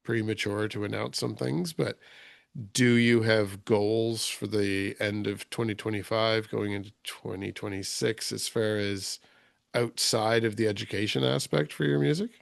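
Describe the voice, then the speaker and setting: A man's voice: on the low side, fairly even intonation, a little slow, creaky, no echo, studio quality. A man hosting an interview over a call, asking loose, rambling questions in a conversational way. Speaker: podcast host. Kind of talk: casual interview. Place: remote call.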